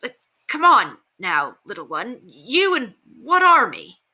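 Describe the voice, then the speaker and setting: A woman's voice: fairly high pitch, sing-song, slow pace, bright, forceful tone, voice pushed up, heavily acted. A woman voicing a flustered, scoffing male gate guard in a storybook, incredulous and sputtering.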